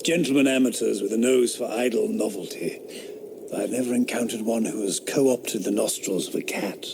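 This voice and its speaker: An actor playing a sardonic eighteenth-century philosopher who mocks the ghost hunt with dry, scornful wit. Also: male voice, mid-range voice, steady tone, moderate pace, vocal fry, noisy background, lightly acted. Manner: dry, mocking, skeptical. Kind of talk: radio drama acting. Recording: audio drama with woodland ambience.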